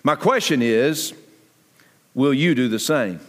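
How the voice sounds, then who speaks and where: The long reverb of a big sanctuary surrounds a man preaching. His pitch is average for a man, and he speaks to the congregation as a pastor would, setting out phrases deliberately with emphasis and pauses. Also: expressive. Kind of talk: sermon appeal and guest interview before a congregation. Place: church sanctuary, amplified to a congregation. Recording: echoey large hall reverb.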